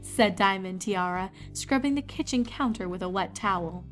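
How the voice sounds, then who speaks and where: A woman's voice: mid-range voice, moderate pace, faint music behind. A woman reading scripted story narration as the narrator of a fan fiction.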